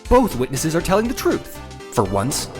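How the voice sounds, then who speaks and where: A man's voice: on the high side, wildly swooping pitch, normal speed. A man playing an earnest, sardonic young defense lawyer in a video game courtroom drama, with emphatic delivery. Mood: thoughtful, assertive. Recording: music behind the voice.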